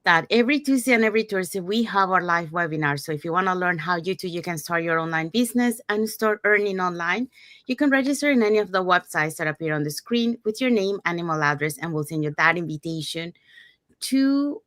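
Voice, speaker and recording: A woman's voice: fairly low pitch, expressive, a little fast, fairly bright tone, no room sound, clean studio sound. A woman, hosting a casual live online talk. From Panama. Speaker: host, digital business owner. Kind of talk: casual live webinar presenting.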